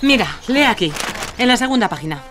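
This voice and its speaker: A woman's voice: on the high side, big pitch swings, fast talker, music in the background. A woman playing a determined, spirited young aviator in an audio drama. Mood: guarded, probing. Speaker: lead actress and in-character narrator.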